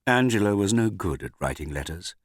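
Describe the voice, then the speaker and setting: A man narrating a literary novel as an audiobook reader, with a measured, understated delivery and finely modulated voice. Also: on the low side, lively intonation, no room sound, clean studio sound.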